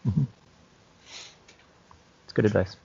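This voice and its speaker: A man's voice: slow pace, dark tone. A man co-hosting a podcast, chatting casually in a hesitant, loosely phrased, easygoing way. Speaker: podcast co-host, game master. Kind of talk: casual chat giving gaming advice. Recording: dull, lo-fi, dry podcast audio.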